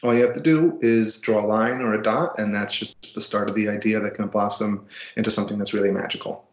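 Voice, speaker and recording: A man's voice: on the low side, fairly even intonation, brisk, roomy sound, lo-fi sound. A man reading a children's picture book aloud with casual asides, expressively and with dramatic emphasis. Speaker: storybook reader.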